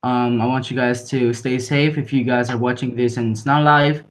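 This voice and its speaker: A man's voice: mid-range voice, fairly even intonation, moderate pace. A young man hosting a podcast, casually addressing viewers of a livestream. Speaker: podcast host. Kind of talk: casual livestream sign-off chat. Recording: faint noise, clicks on voice chat.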